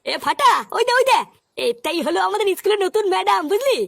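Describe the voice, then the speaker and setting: A woman's voice: very high voice, animated, fast talker, gravelly, fairly bright tone, dry recording, heavily acted. A woman voicing a brash, crude, complaining schoolboy in a comic cartoon dub. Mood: irritated, cheeky. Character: brash, foul-mouthed schoolboy, cheeky and irritable. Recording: music behind the voice.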